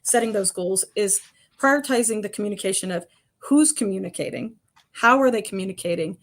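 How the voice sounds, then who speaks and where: A woman with a commanding voice, answering interview questions in an emphatic, lively way. She sits mid-range in pitch and laughs lightly between her points. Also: a little fast. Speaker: construction industry guest.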